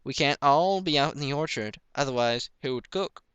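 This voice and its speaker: A man plays a country pony mother in a storybook, voice lifted high. She is brisk but kindly, with a folksy, motherly way of encouraging. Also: fairly bright tone, clear, resonant tone, no room sound, lo-fi sound, natural voice.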